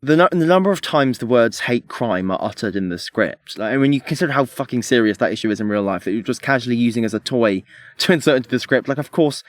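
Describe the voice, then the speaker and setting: A man's voice: medium pitch, animated, quick pace, no echo. A man speaking as a podcast panelist in casual, opinionated discussion.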